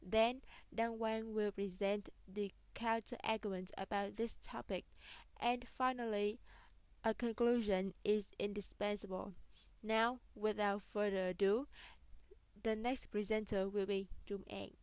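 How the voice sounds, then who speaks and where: A woman's voice: mid-range voice, fairly even intonation, unhurried, clean tone, no echo. A young woman giving a formal scripted student presentation. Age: young adult. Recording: dull, lo-fi audio.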